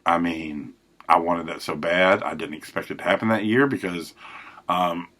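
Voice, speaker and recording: A man hosting a recovery podcast, telling his life story in a rambling, self-correcting way with a big, loud voice. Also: sits low, moderate pace. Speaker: podcast host. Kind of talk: personal recovery monologue.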